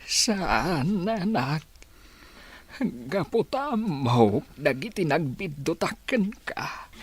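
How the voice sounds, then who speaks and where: A male actor as an old Ilocano village father in a radio play, husky and swinging in pitch. He is frail and sorrowful, pleading slowly as if his time is short. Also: very animated, heavily acted. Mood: tearful, pleading. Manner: slow, emotional, pleading. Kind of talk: Ilocano radio drama acting.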